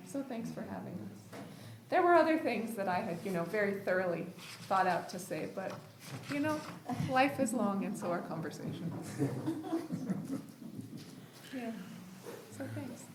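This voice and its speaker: A woman professor and writer giving reflective, measured remarks in a group conversation, with light laughs. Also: medium pitch, big pitch swings, echoey room, noisy background.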